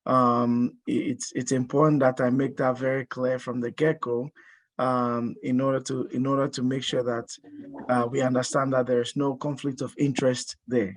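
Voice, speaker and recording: A man's voice: medium pitch, little pitch movement, moderate pace. Hesitant Ghana-born man giving a webinar talk. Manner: hesitant, many fillers and restarts. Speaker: strength and conditioning coach. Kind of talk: webinar presentation.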